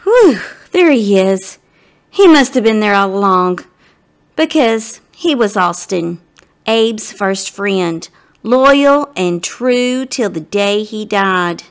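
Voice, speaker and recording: A woman reading a children's story aloud as a guest reader, expressive and dramatic, speaking directly to her listeners. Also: medium pitch, slow pace.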